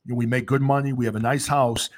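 A man's voice: mid-range voice, steady tone, fast talker. Fifties guy hosting a talk show, upbeat, kinda jokey and earnest. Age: 50s. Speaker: radio show host. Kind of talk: casual talk show chat.

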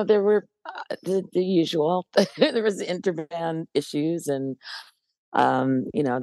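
An older American woman from New Jersey with a low, gravelly voice, talking through memories in a relaxed interview, often hesitating and laughing. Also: dry recording. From New Jersey, USA. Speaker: guest musician. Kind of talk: casual interview chat.

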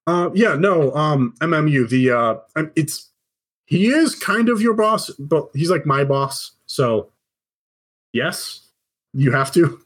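A man's voice: fairly high pitch, animated, slow pace, a bit bright, husky, full character voice. Man playing an uneasy underworld middle boss, stammering.

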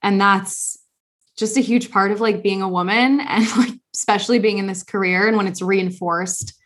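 A woman's voice: medium pitch, fairly even intonation, moderate pace, fairly bright tone. A young adult woman hosting a podcast, talking earnestly in casual conversation with lots of fillers and restarts. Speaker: podcast host.

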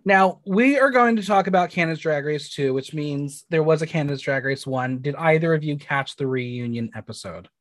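A man hosting a podcast, chatting in an upbeat, quick way and reading plugs. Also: a little high, a bit bright, no echo. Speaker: podcast host. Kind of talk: casual podcast chat.

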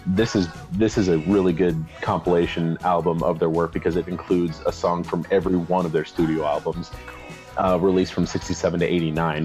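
Very deep-voiced sick man coughing. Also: darkish tone. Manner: laid-back, hesitant with fillers. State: sick, coughing, losing his voice. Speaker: podcast co-host. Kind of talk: casual chat.

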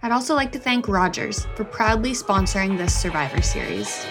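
Woman podcast host, upbeat, mid pitch, scripted intro, friendly interview questions. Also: expressive, moderate pace, loud music behind the voice. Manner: upbeat, warm thanking, reads a content warning.

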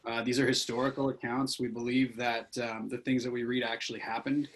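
A man hosting a casual faith podcast, talking in a relaxed, friendly way with plenty of fillers. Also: mid-range voice, a little fast. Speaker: podcast host.

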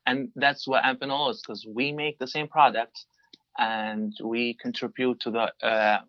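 A man in his twenties from Libya, a native Arabic speaker, answering interview questions in a halting way with many restarts. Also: mid-range voice, fairly even intonation, fairly bright tone, no echo, muffled recording. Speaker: guest, design engineer.

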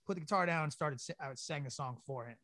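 A man's voice: a little high, fast, fairly bright tone, no room sound, clean studio sound. A young man, a band singer, chatting casually as a guest, talking loosely with lots of swearing, fillers and stumbling restarts. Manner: laid-back, profane, rambling with restarts. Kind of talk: casual interview chat.

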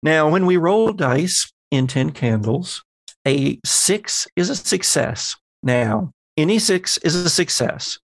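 A man acting as game master, explaining tabletop game rules in a measured, conversational, lightly playful way. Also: on the high side, animated, no room sound.

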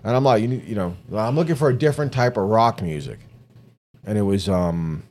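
A man's voice: fairly low pitch, expressive, brisk, a little mellow. A man with a New York accent, co-hosting a podcast in casual, profane, rambling chat. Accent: New York, Bronx-tinged. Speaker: podcast co-host.

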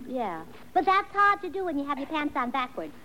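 A woman's voice: sits high, very animated, brisk, lo-fi sound. An actress playing a scatterbrained woman who earnestly explains absurd family news in an old radio comedy routine. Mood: earnest, dithering. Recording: noisy old radio recording.

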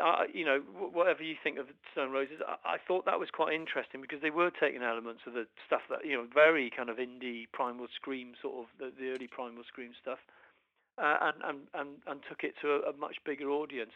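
A man from Wales, a musician guest in a casual interview, talking haltingly with many restarts and fillers. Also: mid-range voice, steady tone, fairly bright tone, no echo, highs cut off.

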